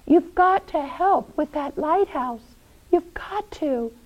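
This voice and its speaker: A woman's voice: high-pitched, animated, slow pace, highs cut off, voice pushed up. A woman voicing an earnest young boy who pleads with his dragon friend, in a children's story. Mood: earnest persuading.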